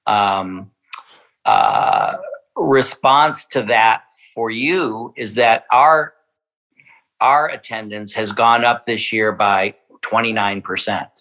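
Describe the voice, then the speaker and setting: A man's voice: fairly low pitch, expressive, takes it slowly, sharp tone, poor audio. A man from a winery speaking in a podcast interview, hesitant, with many fillers and restarts. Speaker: winery representative.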